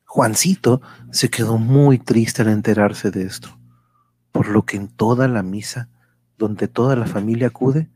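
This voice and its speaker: Husky male radio-drama narrator. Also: lowish voice, measured pace, darkish tone.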